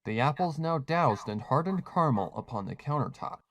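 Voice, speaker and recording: Man narrating a dark story. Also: mid-range voice, expressive, fairly quick. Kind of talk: scripted horror fan fiction narration.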